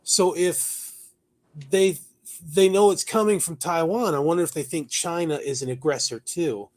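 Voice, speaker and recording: A man with a somewhat high-pitched voice co-hosts a news discussion. He shifts between reading from notes and chatting informally. His delivery halts now and then, and he repeats words for emphasis. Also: normal speed. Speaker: talk show host. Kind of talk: conversational news commentary, partly read from notes.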